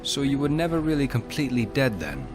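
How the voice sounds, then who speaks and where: An actor playing a steady, protective warrior hero in a video game, terse and direct. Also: male voice, medium pitch, a little fast, close to an everyday voice. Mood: concerned. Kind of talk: video game character dialogue. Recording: music behind the voice.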